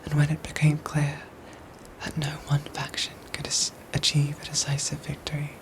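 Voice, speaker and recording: A woman playing a protective android soldier in a whispered ASMR roleplay, speaking in soft, breathy, formal and precise phrases. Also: low voice, monotone, normal speed, low-quality recording. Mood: grave, gentle.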